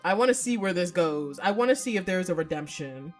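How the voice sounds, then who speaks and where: A woman giving casual, slangy and profane live commentary as she plays a visual novel, exclaiming in an animated way. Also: fairly low pitch, brisk, faint music behind. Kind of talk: casual game livestream commentary.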